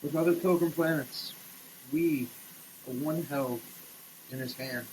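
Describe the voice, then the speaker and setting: A man giving a theatrical, declamatory dramatic reading, swinging between hushed delivery and shouting, as a comic performance. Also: fairly high pitch, unhurried, a little hiss or hum, low-quality recording. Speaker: sketch comedy performer. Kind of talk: dramatic reading of a product label. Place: shower.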